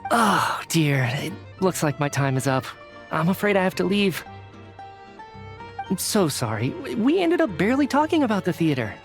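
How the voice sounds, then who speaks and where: A tired male researcher, animated intonation, polite, hesitant, affable, game dialogue, faint music. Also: high voice, normal speed. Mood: apologetic, mild. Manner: polite, hesitant, sighing, expressive. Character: Mitya, weary, affable male government energy researcher. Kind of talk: video game voice acting.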